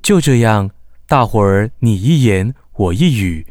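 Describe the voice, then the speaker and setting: A man narrating a scripted children's story in an expressive storyteller's way. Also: lowish voice, unhurried, heavy background noise. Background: music.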